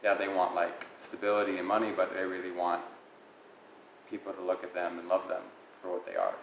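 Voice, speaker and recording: 25 yo guy, really deep voice, pretty flat, earnest and musing, echoey room. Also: brisk. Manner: earnest, musing, says "like" often. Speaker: interviewee. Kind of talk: casual reflective interview answers. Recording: echoey room, faint noise.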